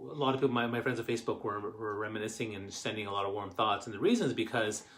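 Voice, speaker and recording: A middle-aged man with a Canadian accent, telling personal stories casually to camera in a rambling, halting way. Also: on the low side, slight background noise. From Canadian Maritimes. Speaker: host of a family stories show.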